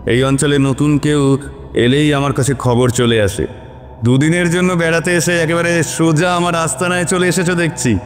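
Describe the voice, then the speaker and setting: A man's voice: on the high side, close to an everyday voice. A man playing a tall, menacing devilish sorcerer in a horror cartoon, sneering and taunting as he threatens. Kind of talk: animated horror story acting. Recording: long reverb, music behind.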